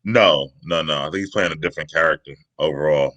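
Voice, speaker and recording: A man's voice: a bass voice, quick pace, fairly bright tone. A man in casual banter as a panelist, talking informally with slang and short affirming replies. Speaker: talk show panelist.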